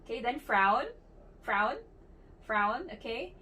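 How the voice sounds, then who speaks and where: Higher-voiced woman explaining an exam. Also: animated, slow, sharp tone. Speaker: student demonstrating a clinical exam. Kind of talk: clinical skills demonstration. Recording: distant mic with faint background noise.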